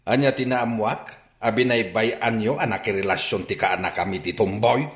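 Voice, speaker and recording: A man playing a gruff, indignant and disapproving older relative in a Filipino radio drama, speaking bluntly. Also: fairly low pitch, moderate pace, echoey room, natural voice. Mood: confrontational. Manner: blunt, demanding.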